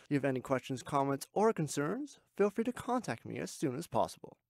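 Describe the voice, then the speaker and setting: A man voicing a polite customer-service announcer in a measured, formal read. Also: a little high, big pitch swings, creaky, dry recording. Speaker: voice actor. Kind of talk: commercial read.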